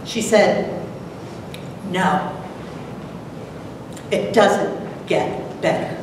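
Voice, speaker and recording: A mature woman giving a prepared talk and dramatic poetry recital with deliberate, theatrical delivery. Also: fairly low pitch. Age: middle-aged or older. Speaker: presenter, dramatic reader. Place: lecture hall, at a podium. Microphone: far camera. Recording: echoey noisy hall from far off.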